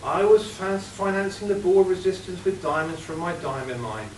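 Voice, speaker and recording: A man playing a scheming female criminal mastermind in an amateur radio play, cold and deliberate. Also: sits high, lightly acted. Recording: roomy, distant, faint hiss.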